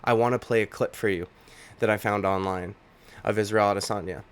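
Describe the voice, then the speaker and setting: A man with a slightly low voice delivers an opinionated reaction commentary on MMA, indignant and repeating himself as he rants. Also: fairly even intonation, brisk, clear, resonant tone. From Canada.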